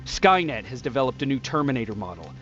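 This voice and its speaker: A man's voice: medium pitch, very animated, quick pace. A man playing a dutiful, earnest soldier of a human resistance in a dramatic video game. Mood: urgent, frustrated. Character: weary, dutiful male resistance soldier, young adult. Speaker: game protagonist and narrator. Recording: dull game audio under loud music.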